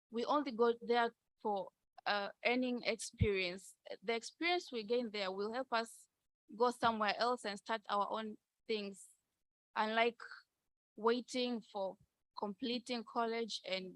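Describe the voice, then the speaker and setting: A woman giving an earnest contribution from the floor of a conference, measured and with some fillers. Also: a little high, dry recording, rough recording. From Tanzania. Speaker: conference participant.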